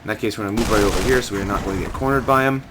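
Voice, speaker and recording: A man with a hoarse, scratchy voice giving steady, methodical commentary as he plays a video game. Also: on the low side, very fast. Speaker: gaming channel host. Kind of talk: let's play commentary. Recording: game gunfire and ambience behind the voice.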